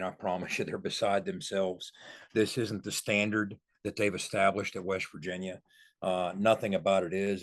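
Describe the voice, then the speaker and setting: A man giving sports analysis on a podcast in an emphatic, explanatory way. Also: low-pitched.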